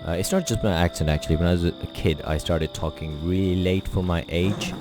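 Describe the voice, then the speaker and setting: A man's voice: sits low, a little fast. A man from Pakistan whose first language is Urdu, living in England, chatting casually with many fillers while playing a game on a poor home mic. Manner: rambling, self-deprecating, many fillers. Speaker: gaming channel host. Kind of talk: casual let's play commentary. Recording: loud game music behind.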